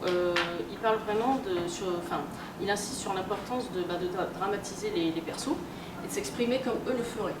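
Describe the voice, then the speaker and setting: Woman, informal lecture, conversational and halting, distant microphone, clear background noise. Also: mid-range voice. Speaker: conference speaker, game publisher and researcher. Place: convention talk room, indoors. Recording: echoey room from afar, strong background noise.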